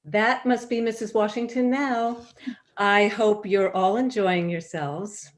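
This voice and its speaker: A woman's voice: mid-range voice, fairly even intonation, takes it slowly, close to an everyday voice. A woman playing a gracious, fussy suburban hostess with genteel manners in a staged play reading. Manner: polite, genteel.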